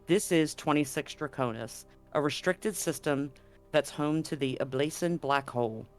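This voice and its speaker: A man's voice: on the high side, moderate pace, soft background music. A man acting as game master, hosting and narrating a tabletop roleplaying game stream.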